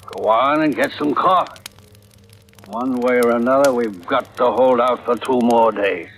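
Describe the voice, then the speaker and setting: An actor playing a calm, fatherly ship's captain, firm and reassuring, in old radio drama style. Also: medium pitch, measured pace, soft background music. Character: steady, fatherly older ship's captain, calm under pressure. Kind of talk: 1940s-50s radio drama acting. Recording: vintage radio recording, faint noise.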